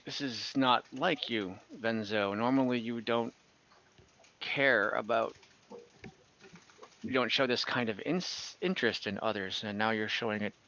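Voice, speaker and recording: A man playing a tired, polite town leader in a tabletop game, talking in a halting, rambling, diplomatic way with many self-corrections. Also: fairly low pitch, sharp tone, muffled recording, pitched below the performer's own voice. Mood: polite, hesitant. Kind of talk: improvised in-character tabletop roleplay.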